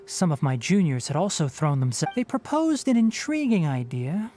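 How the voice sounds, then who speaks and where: An actor playing an earnest young male forest scholar in a game, measured, articulate and serious. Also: a little high, big pitch swings, warm tone. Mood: earnest, reflective. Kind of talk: scripted game dialogue.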